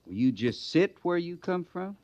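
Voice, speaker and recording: An actor playing a stern, plain-spoken cavalry sergeant with folksy grammar in an old radio western. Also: male voice, high-pitched, sing-song, normal speed, a little mellow, dry recording. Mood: stern, impatient. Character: gruff, no-nonsense cavalry sergeant, loyal and stern. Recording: muffled vintage radio recording.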